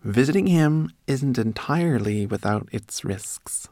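A man's voice: mid-range voice, expressive, measured pace, warm tone, no room sound, studio quality, voice pushed up. A man voicing a fussy, anxious, know-it-all female rat in a storybook, speaking insistently. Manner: fussy, insistent, stammering when flustered.

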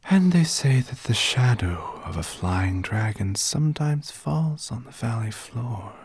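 A man voicing a courteous, ominous female sea serpent in an audiobook, with a slow, hissing, whispery delivery. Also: mid-range voice, expressive, slightly rough, no echo, pitched above the performer's own voice. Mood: mysterious. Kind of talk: audiobook character acting.

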